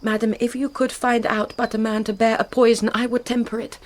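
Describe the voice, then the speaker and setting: An actress playing a passionate, grief-stricken young noble bride in a classical Shakespearean radio drama, with earnest poetic delivery. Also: female voice, a little high, level tone, brisk, natural voice. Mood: guarded grief.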